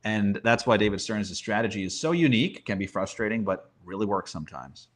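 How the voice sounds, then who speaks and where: A man's voice: lowish voice, fairly quick. A man giving conversational sports commentary as a guest analyst, using rhetorical questions and emphatic repetition.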